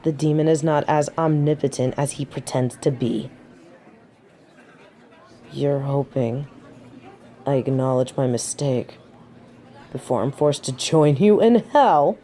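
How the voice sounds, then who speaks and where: A woman's voice: extremely low pitch, measured pace, pauses often, mellow, some chatter behind. A woman playing a proud, haughty fallen angel of judgement in a scripted audio roleplay, dramatic and defensive. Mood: haughty, teasing.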